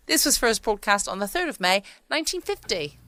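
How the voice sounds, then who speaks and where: A woman's voice: medium pitch, big pitch swings, brisk, very noisy. A woman with a southern English accent, a little run down, chatting casually as a bedtime podcast host. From United Kingdom. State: run down with a chesty cough. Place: at home.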